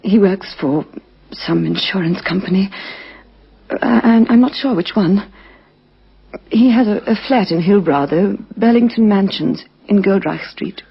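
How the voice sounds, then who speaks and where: A woman playing a grieving widow in a radio crime drama, hesitant and faltering, with a breathy, husky voice that drops to a hush at times. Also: mid-range voice, unhurried, heavily acted. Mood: hesitant, subdued. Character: grieving recent widow, shaken and halting, adult. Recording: dull lo-fi vintage radio recording.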